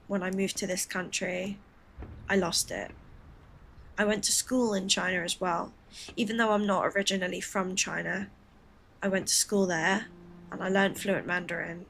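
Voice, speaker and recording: A woman's voice: mid-range voice, creaky, a bit bright. Woman from the Philippines, streamer, rambling chatty talk, laughing asides, home setup, faint hiss. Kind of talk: casual gameplay chat with viewers.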